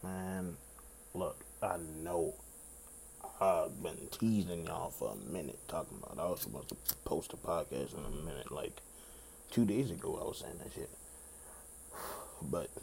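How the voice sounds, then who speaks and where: Raspy young male podcast host, very low and gravelly, laid-back rambling delivery. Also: a little mellow, a little hiss or hum. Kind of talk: casual solo podcast chat.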